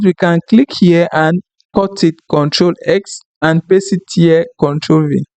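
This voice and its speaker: Man, French native speaker, high voice, slow delivery, dry close sound, tutorial walkthrough. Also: darkish tone, no room sound. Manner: unhurried, apologetic, thinks aloud with fillers. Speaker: tutorial instructor. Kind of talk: screen-recorded software tutorial.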